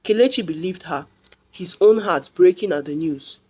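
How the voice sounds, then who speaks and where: A woman's voice: lowish voice, normal speed, a little mellow, breathy edge. A woman reading a scripted folktale as a storyteller.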